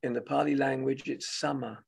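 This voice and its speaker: A man with a husky, gravelly voice leading a guided meditation as a teacher, speaking gently and unscripted in short phrases with long pauses. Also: medium pitch, steady tone.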